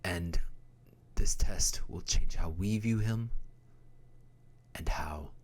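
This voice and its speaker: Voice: low-pitched, takes it slowly, a little mellow. A storyteller host introducing and narrating an audio drama, stumbling a little over words. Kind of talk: scripted narration and bantering intro.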